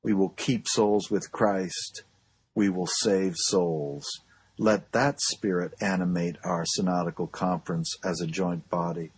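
A man reading a formal sermon aloud in a solemn, measured and earnest oratorical manner. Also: low-pitched, steady tone, husky. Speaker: pastor reading a historic sermon.

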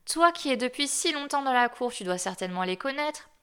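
Storybook casting: a curious, innocent snowman, played by a woman in a fairly high voice. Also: expressive, fairly quick, a bit bright, clean tone. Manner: wondering, innocent questioning. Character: naive newly built male snowman, curious.

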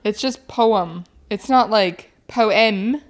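A woman's voice: mid-range voice, slow pace. A woman chatting casually as a podcast co-host, with a teasing, playful manner. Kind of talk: casual chat.

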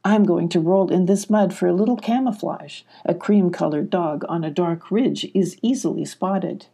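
Big male dog explorer, read by a woman, sensible, plain-spoken, dark soft tone, storybook. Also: lowish voice, moderate pace, vocal fry, slightly rough. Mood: practical, calm. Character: big floppy-eared male dog, practical, loyal explorer.

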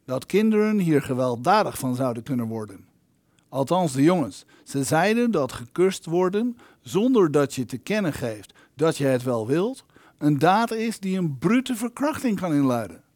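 An actor playing the indignant ghost of an elderly film maker in an audio drama, wordy, aggrieved and theatrical. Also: male voice, on the high side, sing-song, moderate pace, darkish tone, dry recording.

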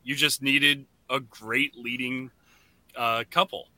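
A man co-hosting a podcast in casual chat, often halting and restarting his thoughts. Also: mid-range voice, fairly even intonation, bright, forceful tone, dry recording. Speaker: podcast co-host.

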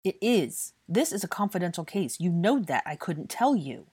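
A woman's voice: on the low side, sing-song, normal speed, a little mellow, husky, no room sound. A woman voicing a bitter, angry young man who scolds heatedly in an audiobook fantasy story. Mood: frustrated. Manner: heated, scolding, British swearing. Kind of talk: audiobook character dialogue.

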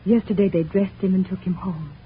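A woman plays a devoted wife in a 1940s radio drama. The manner is gentle and anxious, full of care. The recording is narrow and phone-like, with noise throughout. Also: medium pitch, steady tone, a little fast, natural voice. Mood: tender concern. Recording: tinny, noisy old radio recording.

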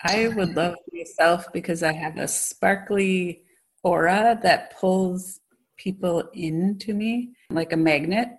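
A woman in a casual chat, speaking in an upbeat, affirming way. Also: fairly low pitch.

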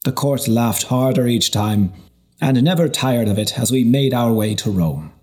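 A man reading a historical novel as scripted narration, in a measured storytelling delivery. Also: mid-range voice, animated, a little mellow. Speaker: audiobook narrator.

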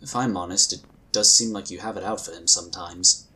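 An actor playing a calm, courteous psionic inquisitor in a sci-fi audio drama, with measured, formal phrasing. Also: male voice, sits low, slightly rough, full character voice. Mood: thoughtful, reasoning.

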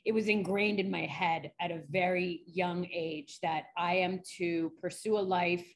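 Lively, laughing woman psychologist. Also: on the low side, measured pace. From New York. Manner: animated, laughs often, candid. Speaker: forensic psychologist guest. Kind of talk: casual interview chat.

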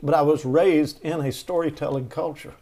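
Middle-aged man, folksy rambling storyteller, slightly higher voice, interview guest. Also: steady tone, moderate pace, dark tone. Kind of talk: storytelling conversation.

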